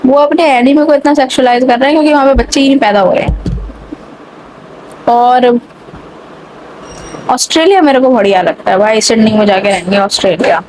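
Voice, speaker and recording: Young woman, high voice, sarcastic rambling vlogger, mixed online-picked English accent, light background noise. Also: brisk, long pauses, a little mellow, poor audio. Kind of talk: casual rant to camera.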